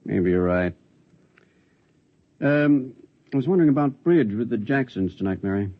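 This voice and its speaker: A man's voice: medium pitch, animated, normal speed, natural voice. An actor playing a stern, skeptical suburban father in a 1950s radio drama, wry and firm. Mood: grumbling. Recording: dull vintage 1950s radio recording.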